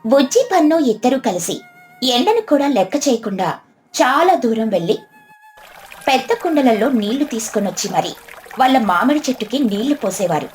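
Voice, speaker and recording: A woman's voice: on the high side, sing-song, a little fast, darkish tone, soft background music. A woman narrating a scripted story for children.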